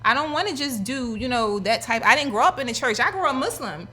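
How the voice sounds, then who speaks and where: A young woman talks quickly in a bright, forceful voice, rambling and full of fillers as she chats casually on a show. She sits a little high in pitch, with faint ambience behind her. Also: slightly rough. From Philadelphia. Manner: rambling, filler-heavy, animated. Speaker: guest musician. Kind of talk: casual chat.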